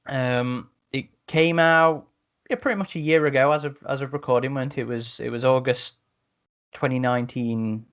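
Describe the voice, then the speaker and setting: A man's voice: mid-range voice, normal speed, a bit bright, clear, resonant tone, highs cut off. Man hosting a casual podcast. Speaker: podcast host.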